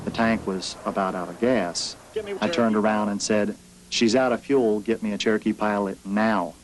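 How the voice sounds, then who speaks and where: A man's voice: lowish voice, fairly even intonation, moderate pace, a crowd in the background. A man, an air traffic controller, recounting events in an interview. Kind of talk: interview recollection. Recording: clear background noise.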